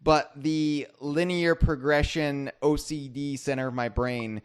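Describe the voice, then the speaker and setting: Smooth-voiced man rambling on a podcast. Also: on the high side, fairly even intonation, measured pace. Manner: rambling, self-deprecating joker. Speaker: podcast host. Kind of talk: casual podcast chat.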